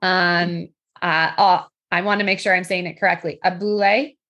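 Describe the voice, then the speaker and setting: A woman's voice: on the low side, level tone, moderate pace, a bit bright, lo-fi sound. A woman hosting a podcast interview, reading an upbeat introduction and then asking friendly questions. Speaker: podcast host.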